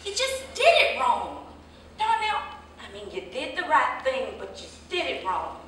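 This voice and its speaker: A woman's voice: high voice, huge pitch swings, measured pace, fairly bright tone, exaggerated voice. A woman acting a frustrated, practical young working-class mother in a staged drama reading, speaking emphatically in vernacular with pointed questions. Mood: earnest, reproachful. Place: live staged reading, recorded from a distance indoors. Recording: echoey room, distant mic, faint noise.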